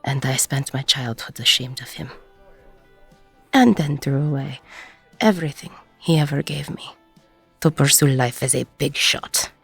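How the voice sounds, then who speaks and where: A woman playing a weary, gruff, middle-aged ex-con bartender, husky and breathy, blunt and self-deprecating, in an intimate audio roleplay. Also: extremely low pitch, unhurried, pauses often, a bit bright, no room sound, faint music behind, exaggerated voice. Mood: regretful, subdued.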